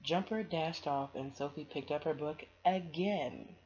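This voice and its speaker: A woman's voice: low-pitched, measured pace, a little mellow. A woman from the United States narrating a children's picture book aloud, with casual asides. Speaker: storytime host reading aloud. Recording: dull, noisy home recording.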